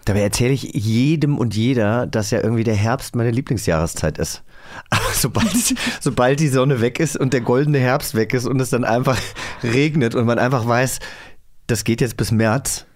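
A man's voice: medium pitch, animated, moderate pace. A man chatting casually and enthusiastically as a podcast host. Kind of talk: casual chat.

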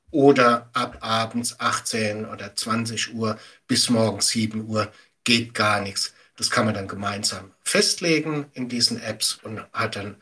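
Guy on a podcast explaining stuff, emphatic, bright slightly rough husky voice, medium pitch. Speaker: guest media literacy educator. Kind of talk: podcast interview, explaining and giving advice.

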